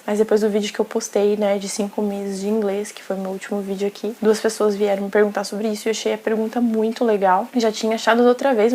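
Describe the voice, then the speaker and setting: A young Brazilian woman rattles along in easy, slangy vlog chat, her words spilling out quickly. Her voice carries a gravelly edge, and a faint hiss sits under the recording. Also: medium pitch, warm tone. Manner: chatty, slangy, frequent "sabe?" tags. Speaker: vlogger.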